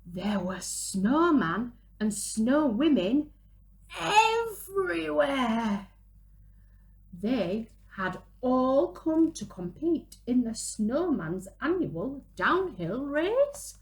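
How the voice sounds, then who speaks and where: A woman, reading a picture book aloud to young children in an animated, dramatic way. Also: high-pitched. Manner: animated, dramatic gasps and drawn-out exclamations. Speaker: children's storyteller, early years presenter. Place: small room at home, indoors.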